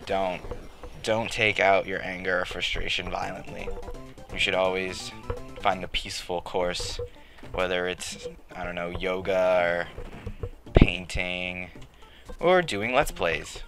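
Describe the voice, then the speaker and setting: A young man giving rambling, joking commentary while playing a game, his voice a little raspy. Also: deep voice, steady tone, slow, long pauses. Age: late teens to young adult. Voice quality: slightly raspy. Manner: joking, rambling, self-mocking. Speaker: let's play commentator. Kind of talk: casual gameplay commentary. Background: game music.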